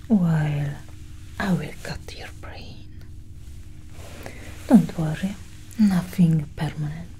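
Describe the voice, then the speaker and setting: ASMR roleplay alien scientist, played by a woman: female, low, dark whisper, calm, condescending, soothing. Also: slight background noise, poor audio. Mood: curious, soothing. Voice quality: whispery, breathy. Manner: slow, hushed, reassuring. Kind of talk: soft-spoken ASMR roleplay. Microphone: close ASMR microphone.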